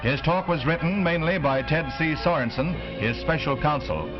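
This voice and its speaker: A man's voice: fairly high pitch, lively intonation, normal speed. An American man reading a 1960s newsreel script in a formal, declamatory announcer's delivery. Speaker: newsreel narrator. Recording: dull vintage newsreel soundtrack, loud music.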